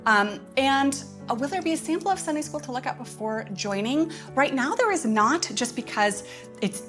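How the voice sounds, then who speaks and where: A woman's voice: sits high, expressive, normal speed, over music. A woman giving casual livestream announcements as a host, speaking in a chatty, enthusiastic way. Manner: chatty, enthusiastic, often chuckling. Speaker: host of a curriculum update livestream.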